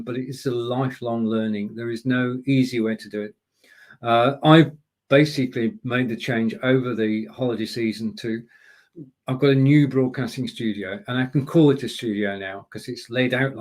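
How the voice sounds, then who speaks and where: A man's voice: medium pitch, darkish tone. A man speaking as a guest expert on a live show from his home broadcasting studio, giving direct, coaching advice to viewers. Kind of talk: instructive live-stream talk.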